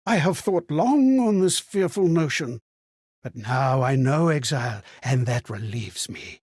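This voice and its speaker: An actor playing a haunted, amnesiac former Templar in a video game, speaking in solemn, archaic, ponderous monologue. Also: male voice, mid-range voice, lively intonation, measured pace, darkish tone, husky, no echo, studio quality. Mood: solemn, resolute.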